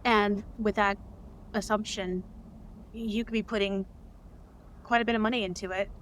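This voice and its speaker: Some background ambience sits behind a woman's mid-pitched voice. She speaks as an interview guest, casually explaining her creative work in an unscripted way. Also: fairly even intonation, normal speed. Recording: clear background ambient noise.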